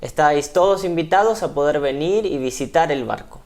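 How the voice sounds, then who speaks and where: A man's voice: a little high, lively intonation, fairly quick, a little mellow. A man with an Argentine accent, a ship crew member being interviewed on TV, explaining at length with frequent fillers. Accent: Argentine Spanish. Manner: hesitant, explanatory, many fillers.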